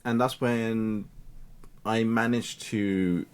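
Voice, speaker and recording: A man's voice: fairly low pitch, extremely slow, clean tone. A man in his thirties, a native Cantonese speaker, telling his life story as an interview guest, often acting out the voices of other people. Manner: animated, acts out quoted voices of family and peers.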